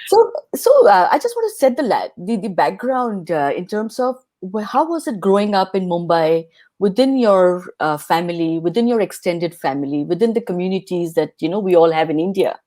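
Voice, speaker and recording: Mellow-voiced woman hosting an interview. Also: on the low side, big pitch swings, normal speed. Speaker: host.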